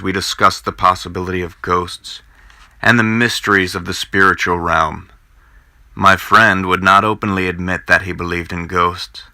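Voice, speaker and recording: A man narrating a first-person ghost story in old-time radio style, with measured, dramatic, suspenseful delivery. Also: low-pitched, vocal fry, pushed and bright. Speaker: narrator and host of a paranormal show.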